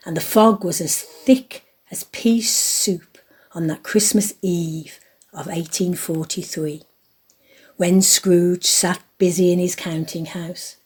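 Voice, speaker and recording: A woman narrates a storybook drama. Her voice is husky and mellow, and she tells it with deliberate emphasis. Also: lowish voice.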